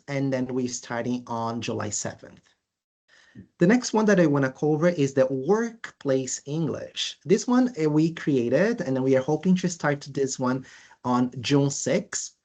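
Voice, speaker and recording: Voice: medium pitch, a little mellow. A presenter from Brazil with a Brazilian accent, walking through course details in a webinar, speaking with frequent uhs and restarts. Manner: halting, many filler uhs and restarts. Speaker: language school presenter.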